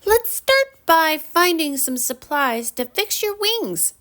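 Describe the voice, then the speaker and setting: A woman's voice: extremely high pitch, very animated, slow, a bit bright, pitched above the performer's own voice, exaggerated voice. A woman voicing a kind, curious little girl in a children's storybook, caring and eager to help. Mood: helpful.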